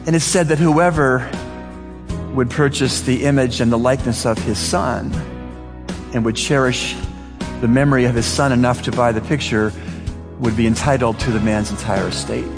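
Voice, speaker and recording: A man's voice: medium pitch, steady tone, moderate pace, big-room sound, music in the background. A male pastor preaching to his church, telling an illustration in an earnest storytelling manner. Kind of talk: sermon.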